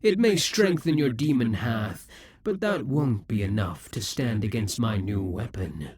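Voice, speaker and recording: A man playing a husky, vengeful demon king. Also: mid-range voice, expressive, darkish tone, no room sound, studio quality. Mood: gloating. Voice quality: very husky, breathy. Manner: slow, theatrical, hissing whispers at times. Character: proud vengeful male demon king, centuries old. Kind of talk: audio roleplay acting.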